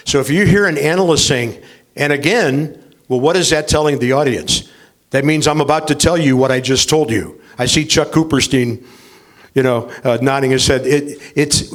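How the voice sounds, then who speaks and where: An older man in his sixties, a veteran baseball announcer, explaining his views in a measured way during a panel discussion. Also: on the high side.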